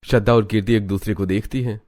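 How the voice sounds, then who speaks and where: A man's voice: on the low side, steady tone, fast, darkish tone. A man giving a dramatic scripted narration of a family story. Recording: music behind the voice. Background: background music.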